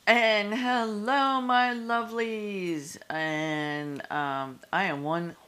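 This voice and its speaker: A woman's voice: mid-range voice, steady tone, extremely slow, fairly bright tone. A woman co-hosting a casual podcast, giggly and playful as she chats. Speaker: podcast co-host. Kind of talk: casual chat.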